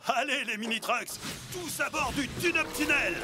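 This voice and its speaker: An actor voicing a jolly old grandfatherly cartoon truck with a booming, theatrical, singsong delivery. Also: moderate pace. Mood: jovial. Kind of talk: children's cartoon dubbing. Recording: loud music under the voice. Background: music.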